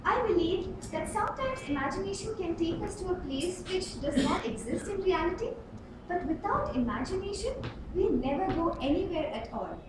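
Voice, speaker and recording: A woman giving a rehearsed storytelling speech at a club contest, with an emphatic, theatrical delivery and dramatic pauses. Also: very high voice, very animated. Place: indoor club meeting room. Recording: roomy, distant mic with clear background noise.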